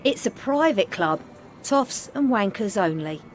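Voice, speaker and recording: A woman's voice: mid-range voice, lively intonation, a little slow, slight background noise, lightly acted. An actress playing a surly, scornful working-class English woman cook in a video game, brusque and foul-mouthed. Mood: hostile, scornful. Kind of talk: video game character acting.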